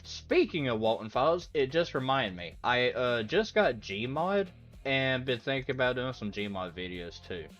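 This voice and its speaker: A man's voice: medium pitch, big pitch swings, unhurried, fairly bright tone. Rambling young man streaming, lo-fi sound. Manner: rambling, laughs easily, reads out chat messages. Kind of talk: casual livestream chat with viewers. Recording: dull lo-fi audio with faint background music.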